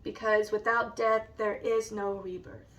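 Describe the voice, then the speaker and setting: A woman with a raspy, allergy-hoarse voice, slowly and hesitantly showing a scrapbook in a casual vlog. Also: mid-range voice, level tone, fairly bright tone, far from the mic. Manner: slow and hesitant, many ums. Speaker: vlogger. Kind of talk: casual show-and-tell vlog.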